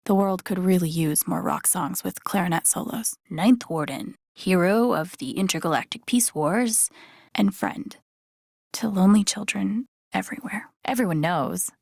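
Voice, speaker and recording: A voice actress playing a wry, warm-hearted young woman who mutters her thoughts to herself in a naturalistic, understated video game style. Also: fairly low pitch, moderate pace, creaky, no room sound. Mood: wry, amused. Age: young adult. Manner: dry, understated, self-talking wit.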